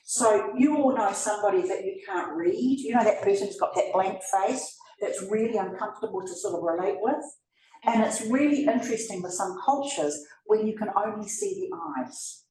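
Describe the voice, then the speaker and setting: A woman's voice: mid-range voice, moderate pace, warm tone, a little hiss or hum. A woman with a New Zealand accent giving a conversational talk to a live audience, turning to the listeners with asides. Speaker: presenter. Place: event room. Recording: roomy, from a distance.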